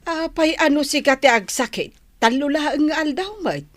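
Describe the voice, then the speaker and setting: A woman's voice: high voice, lively intonation, normal speed, no echo. A woman playing a fretful, concerned village woman in a radio drama. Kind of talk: Ilocano radio drama acting. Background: music.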